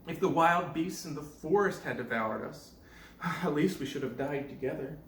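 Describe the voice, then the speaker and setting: A woman's voice: deep voice, animated, moderate pace, a little hiss or hum. A woman voicing a frightened but clever little girl in a storybook reading, with a plaintive, simple delivery. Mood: pleading, sorrowful. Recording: roomy sound, distant from mic.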